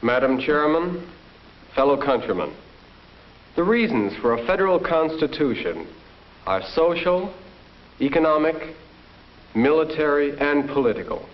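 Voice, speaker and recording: A young man playing a solemn schoolboy who recites a statesman's speech in a halting, deliberate way in a 1950s educational film. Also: a little high, very animated. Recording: muffled 1950s film soundtrack.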